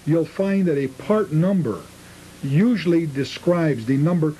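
A male guest with a mellow, slightly high voice explains his craft in casual interview talk. The old cable TV tape carries a faint hiss. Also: a little slow, poor audio. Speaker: guest, model train restorer.

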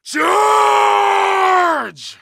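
An actor playing a savage bearded medieval berserker in a game, barking battle commands in a gravelly, raspy roar. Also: male voice, extremely high pitch, drawn-out words, shouting, background noise, exaggerated voice. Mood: bloodthirsty roar. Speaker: game soldier character.

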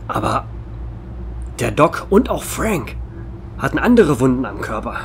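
Man acting a tense investigator, swinging wildly in pitch. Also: very animated, a little slow, a little hiss or hum. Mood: grim unease. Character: alarmed male investigator examining a monster carcass. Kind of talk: amateur horror audio drama acting. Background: eerie background music.

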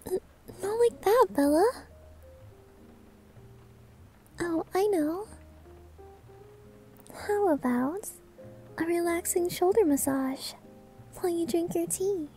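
A timid, sweet novice maid in an anime-style ASMR roleplay. The voice is very high, girlish and very soft, mellow in tone, with hesitant, caring delivery. Music plays behind it. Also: animated, slow pace, pauses often, exaggerated voice. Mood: flustered, caring. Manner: hesitant, gentle, stammering.

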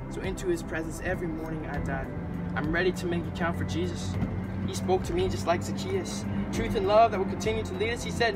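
High-voiced young man performing spoken word. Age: 20s. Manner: rhythmic, earnest, emphatic delivery. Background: music bed.

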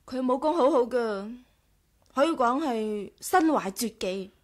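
A woman with a Hong Kong Cantonese accent playing a sharp, cautious adventuress in a 1980s radio drama. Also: a little high, lively intonation, a little slow. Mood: wary.

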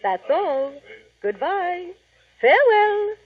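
An actress playing a scatterbrained, self-important Hollywood gossip columnist in a chatty, rambling 1940s radio style, on a vintage recording. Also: female voice, very high-pitched, sing-song, slow, narrow, tinny audio, full character voice. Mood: gushing, scattered.

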